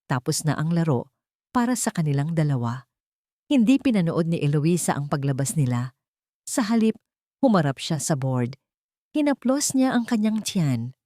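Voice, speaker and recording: Low-pitched Filipino woman narrating drama. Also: dark tone, no echo, studio quality. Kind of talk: scripted dramatic narration of a love story.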